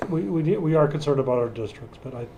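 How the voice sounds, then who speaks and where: Man, commissioner, warm dark tone, plain, repeats words. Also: medium pitch, lively intonation, fast, lo-fi sound, slight background noise. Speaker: county commissioner. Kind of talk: public meeting remarks. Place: county meeting room.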